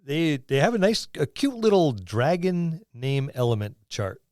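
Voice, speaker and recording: A man's voice: on the high side, very animated, unhurried, darkish tone, dry recording. Dry-sounding man chatting about tabletop gaming. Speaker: podcast host and experienced game master. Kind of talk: casual podcast chat about tabletop role-playing.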